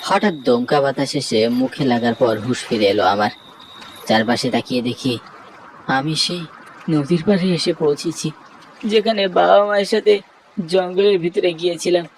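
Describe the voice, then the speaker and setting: A man's voice: on the high side, normal speed, a little hiss or hum, lightly acted, low-quality recording. A man voicing a frightened young man in a horror cartoon, telling his tale in a dramatic, bewildered way. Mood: dazed. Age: young adult. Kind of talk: Bengali horror cartoon narration.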